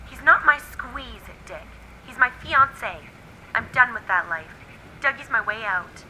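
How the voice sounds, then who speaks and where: An actress playing a defiant femme fatale, curt and scolding, in a film noir radio drama. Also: female voice, fairly high pitch, expressive, unhurried, pushed and bright, a little hiss or hum, exaggerated voice, low-quality recording. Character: defiant blonde femme fatale, detective's old flame.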